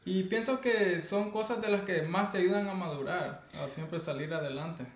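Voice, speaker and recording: A man's voice: sits high, normal speed. A man with a Salvadoran accent talks in a slightly raised voice, earnest and reflective, laughing easily as he chats with a friend. The room around him rings with a noticeable echo. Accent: Salvadoran Spanish. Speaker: podcast co-host. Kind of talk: casual chat.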